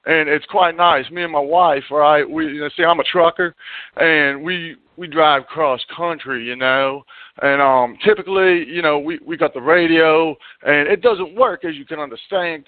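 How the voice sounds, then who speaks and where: A man's voice: fairly high pitch, dry recording. A man calling a radio show, talking in a rambling, stammering, folksy way that turns taunting. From Alabama. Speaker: caller. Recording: dry, tinny phone line.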